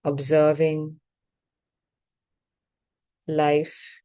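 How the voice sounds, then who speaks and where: A woman leading a guided meditation as facilitator, speaking in measured short phrases with pauses between them. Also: low-pitched, completely flat delivery, dark tone, dry recording.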